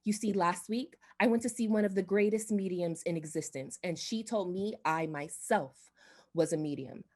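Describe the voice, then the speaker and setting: A woman's voice: on the low side, normal speed, darkish tone, close to an everyday voice. A woman playing a gushing, self-important society hostess and would-be medium in a radio drama, speaking in a grand, theatrical manner. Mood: pompous, theatrical.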